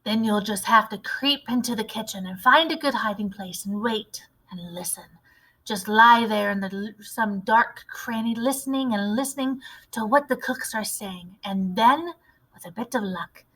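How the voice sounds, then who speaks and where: A woman voicing a loving, shrewd old grandmother in a storybook, measured, fond and admonishing. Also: mid-range voice, fairly bright tone, husky, rough recording. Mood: instructing.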